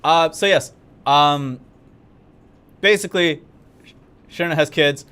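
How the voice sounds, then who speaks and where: Young male co-host, excitable and chatty, voice slightly raised. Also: on the high side, slow, clear, resonant tone. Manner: upbeat, talkative, excitable. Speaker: game community manager. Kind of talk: casual livestream co-hosting. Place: studio in Korea.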